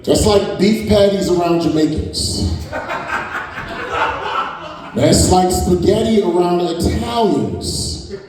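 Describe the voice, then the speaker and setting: A man's voice: high voice, expressive, slow, long pauses. A man in his forties doing a crude, brash stand-up set with the crowd. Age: 40s. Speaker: stand-up comedian. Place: comedy venue stage. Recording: echoey hall, mic off at a distance, loud crowd. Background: audience laughter.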